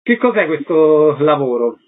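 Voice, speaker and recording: A man's voice: a little high, very animated, measured pace. A man working as a radio interviewer, putting short questions to a guest. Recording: lo-fi radio sound, faint noise.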